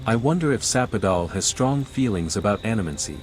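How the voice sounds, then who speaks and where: An actor playing a calm, steady male envoy, the scholarly hero of a fantasy video game, with measured and understated delivery. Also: on the low side, music in the background, natural voice, poor audio. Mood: composed. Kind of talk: video game protagonist dialogue.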